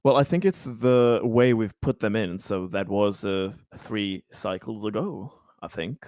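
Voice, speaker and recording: Low-voiced man, very dry sound. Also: measured pace, darkish tone, no room sound. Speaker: tabletop game player. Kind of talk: casual game-table discussion.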